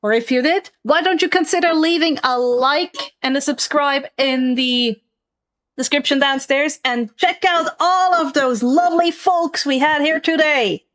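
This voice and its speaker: Slow-talking, high-voiced woman game master. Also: a bit bright. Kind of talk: narrating a tabletop roleplaying game.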